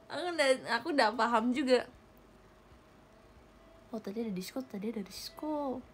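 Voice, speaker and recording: A woman's voice: fairly high pitch, moderate pace, slightly rough. A young woman chatting casually with viewers on a livestream, giggling often and thanking them for gifts. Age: young adult. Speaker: idol livestreamer. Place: small bedroom with a gaming chair. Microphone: webcam or phone at close range.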